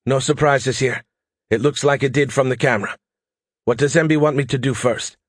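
A man's voice: mid-range voice, moderate pace, breathy edge, no echo, studio quality, pitched above the performer's own voice, close to an everyday voice. A man voicing an anxious but dutiful female army pilot in an audiobook, speaking in a clipped way. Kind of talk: audiobook character voice.

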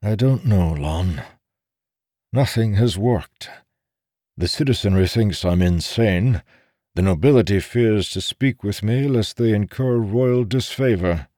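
A man's voice: lowish voice, dark tone, no room sound, studio quality, voice pushed up, lightly acted. A man voicing a weary, earnest soldier in an audiobook story, with a grave and formal manner. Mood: frustrated. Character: weary, earnest soldier, frustrated and doubted as madman.

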